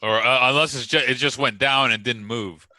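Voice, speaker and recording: Male tabletop player, hesitant stammering chat, medium pitch, very bright tone. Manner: halting, stammering. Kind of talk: casual game-table chat.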